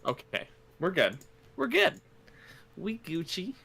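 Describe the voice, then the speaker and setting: A man's voice: sits high, huge pitch swings, slow, vocal fry, pushed and bright, breathy edge. A man in his twenties, a podcast guest and streamer, chatting casually over an online call and laughing often. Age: 20s. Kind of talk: casual chat.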